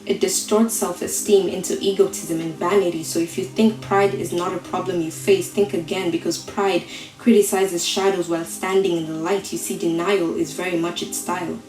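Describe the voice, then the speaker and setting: A woman performing slam poetry in a deliberate, rhetorical way, with dramatic pauses. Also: on the low side, steady tone. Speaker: slam poet. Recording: roomy echo, faint music behind.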